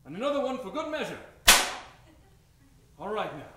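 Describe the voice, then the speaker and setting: A man's voice: high voice, very animated, moderate pace. A man playing a blustering, flustered prison warden with theatrical old-time radio delivery. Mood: commanding. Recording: noisy, echoey live stage.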